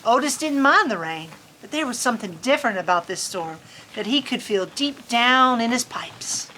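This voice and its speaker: A woman's voice: a little high, animated, moderate pace, bright, forceful tone, poor audio. A woman reads aloud to children. Her delivery is folksy and playful, slipping between storybook narration and casual chat. She is recorded outdoors on a farm, with faint ambient noise behind her. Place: outdoors on a farm in cold weather.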